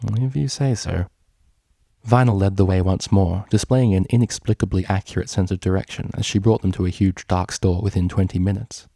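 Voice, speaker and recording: A man's voice: low voice, steady tone, brisk, hardly any pauses, dark tone, dry recording, clean studio sound. A man reading a story aloud as scripted narration. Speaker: fan fiction reader.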